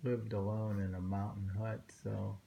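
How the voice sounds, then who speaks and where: A man reading poems aloud informally and commenting on them in a halting, musing way. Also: on the low side, flat delivery, gravelly, mellow, highs cut off, a little hiss or hum. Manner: halting, musing, with pauses and self-questioning. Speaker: reader and host discussing poems.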